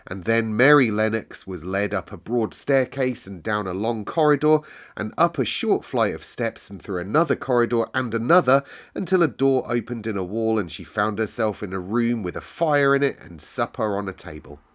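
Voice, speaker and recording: A man's voice: fairly low pitch, expressive, moderate pace. A man hosting a story read-aloud, speaking in a conversational, musing way with listeners. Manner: musing, conversational, thinking out loud. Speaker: storytelling host. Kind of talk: story read-aloud and casual chat with viewers.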